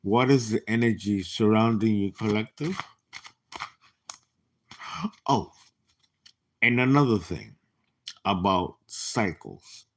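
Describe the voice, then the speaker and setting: A man in his late fifties giving a casual tarot reading, chatty and animated, with laughing asides and dropped whispers. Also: lowish voice, slow, pauses often, a little hiss or hum. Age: 50s. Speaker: tarot reader.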